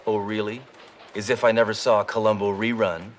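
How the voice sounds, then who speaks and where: An actor playing a cocky, tough cop who talks in gruff deadpan one-liners. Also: male voice, fairly low pitch, a little fast, slight background noise, natural voice.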